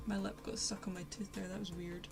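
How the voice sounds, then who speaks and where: A woman's voice: mid-range voice, level tone, fairly quick, creaky. Chatty Glaswegian woman streaming while painting. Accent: posh Glaswegian Scottish. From Scotland. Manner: chatty, self-mocking, rambling asides to chat. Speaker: art streamer. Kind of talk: casual live-stream chat while painting. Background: faint lo-fi music.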